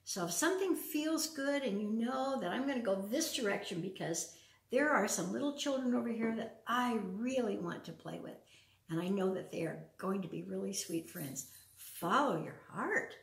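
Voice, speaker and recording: An older woman reading a picture book aloud to young children, pausing for simple questions and chatty asides in a living room. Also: medium pitch, lively intonation, vocal fry. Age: older, around 70s. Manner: simple, child-directed talk with frequent questions. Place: large open living room with wood floor, indoors. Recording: roomy echo, distant from the mic.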